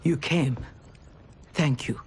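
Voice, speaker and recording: An actress playing a humble, remorseful warrior in a video game, with a husky voice. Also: female voice, very deep voice, takes it slowly, a little hiss or hum. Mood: humble, regretful. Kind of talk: video game cinematic acting.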